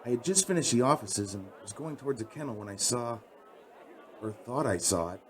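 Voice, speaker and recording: A man's voice: mid-range voice, animated, a little fast, a faint crowd, lightly acted. An actor playing a frightened, earnest young night-shift worker, telling his story hesitantly in a radio drama. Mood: haunted.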